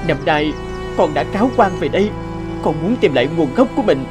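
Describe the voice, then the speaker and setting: A man's voice: on the high side, expressive, brisk, over music, close to an everyday voice. A man playing an earnest, devoted young scholar in a cartoon, speaking expressively. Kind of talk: cartoon voice acting.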